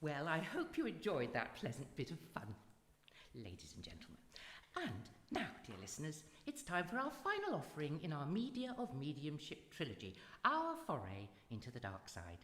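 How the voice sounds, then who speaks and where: A man playing a suave, articulate, theatrical character in a live radio drama, speaking deliberately. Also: fairly high pitch, huge pitch swings, background noise. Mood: ominous showman. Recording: echoey hall, live stage.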